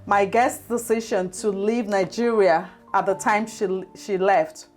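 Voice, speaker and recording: A woman hosting an interview show, introducing her guest in a measured, formal way and asking questions in a studio. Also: mid-range voice, fairly even intonation, faint music behind. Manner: measured, formal introduction with slow emphatic phrases. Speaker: podcast host.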